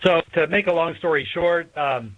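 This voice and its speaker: A man speaking as an expert guest in an interview, explaining in a measured, hesitant way with pauses between phrases. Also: a little high. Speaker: guest expert, political science professor. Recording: dull lo-fi remote radio connection.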